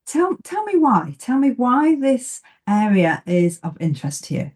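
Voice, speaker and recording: A woman hosting an interview, with a voice that rises and falls a great deal. Her tone is mellow and warm rather than bright, and she sounds eager and encouraging as she welcomes her guest. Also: fairly high pitch, sing-song, unhurried. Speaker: podcast host.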